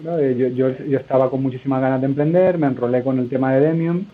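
Husky man on a tinny phone line. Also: medium pitch, steady tone, quick pace. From Spain. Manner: loose, rambling, lots of hesitations. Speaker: guest entrepreneur. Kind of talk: conversational interview. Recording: narrow phone-line sound.

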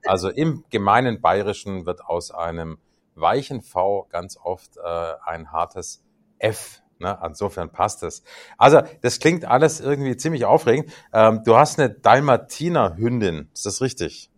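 A man in his sixties co-hosting a podcast, joking playfully and pausing often on "äh" and "ähm". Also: lowish voice, animated. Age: 60s. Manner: joking, playful, hesitant with fillers. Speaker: podcast co-host. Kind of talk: casual interview chat.

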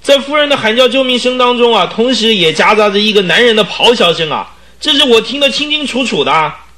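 An excitable circus hand giving testimony in a radio play. His high, bright voice swoops about, stretching each phrase ending emphatically. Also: brisk, full character voice. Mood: agitated, insistent. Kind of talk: Chinese radio drama acting.

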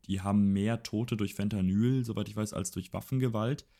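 A man's voice: lowish voice, fairly even intonation, normal speed, creaky, dark tone. A young man co-hosting a casual political chat podcast, rambling and correcting himself as he goes. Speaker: podcast co-host.

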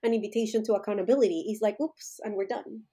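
A woman's voice: medium pitch, fairly even intonation, fast, warm tone, slightly rough. A woman from Colombia, a guest and activist, speaking in an emphatic interview style that repeats key phrases for stress. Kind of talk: conversational interview.

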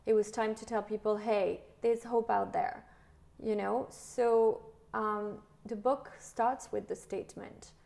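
A woman's voice: medium pitch, steady tone, slow pace, vocal fry, mellow. A middle-aged woman hosting a casual conversation, talking loosely with many fillers and prompts. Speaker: host. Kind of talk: casual interview chat.

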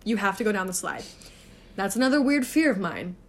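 A woman's voice: medium pitch, very animated, a little fast, slight background noise. A woman in her twenties from Texas, co-hosting a podcast and telling stories in a chatty, giggly way. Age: 20s. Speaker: podcast co-host. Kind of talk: casual chat.

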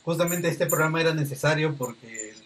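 A man's voice: fairly high pitch, fairly quick, fairly bright tone. Man, podcast host, casual talk, tag questions, este fillers, video call.

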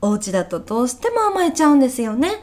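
A woman's voice: sits high, quick pace. A woman playing a chirpy, polite but gossipy kindergarten teacher in a comedic radio drama. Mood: effusive praise. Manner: polite, effusive, animated. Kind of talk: comedic short radio drama, phone meeting.

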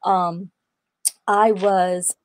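A young woman from Atlanta, Georgia, giving an earnest personal testimony in a faith interview, quoting scripture and pausing on fillers. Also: medium pitch, level tone, no room sound. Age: young adult. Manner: earnest, preaching tone with scripture quotes. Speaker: guest.

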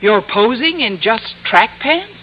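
An actress playing a wry, quick-witted schoolteacher in an old-time radio sitcom, with a dry, sardonic manner. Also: female voice, fairly high pitch, expressive, highs cut off, slight background noise. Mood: coy, dry. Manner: dry, sardonic, crisply ironic. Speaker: actress and narrator in a radio comedy. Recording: vintage radio recording, studio audience.

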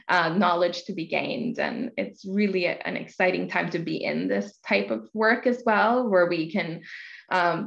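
A woman whose first language is Norwegian, a guest on a podcast interview, speaking in a chatty, reflective way with many fillers and soft laughs. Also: on the low side, vocal fry, dull audio. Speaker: guest, localization professional.

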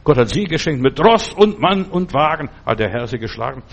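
A man's voice: medium pitch, big pitch swings, a little fast, creaky, highs cut off. An older man preaching an earnest, exhorting sermon, speaking straight to the listener and repeating phrases for emphasis.

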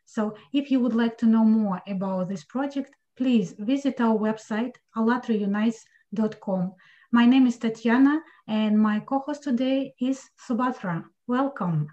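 A woman host opening an online talk show with a measured, earnest introduction. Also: fairly high pitch, dark tone, dull audio.

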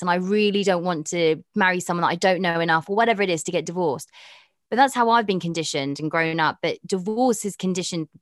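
A young woman with a notably low voice talks frankly and chattily as an interview guest, thinking out loud as she goes. The sound is dry and close, with no room around it. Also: dry recording. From Pakistan. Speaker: presenter and dating podcast host, guest. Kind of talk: candid interview conversation.

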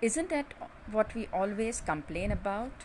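A woman's voice: mid-range voice, expressive, unhurried, a little mellow, a little hiss or hum. Chatty Indian woman doctor podcasting. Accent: Indian English. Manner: chatty, with frequent "uh" fillers. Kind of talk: casual podcast monologue.

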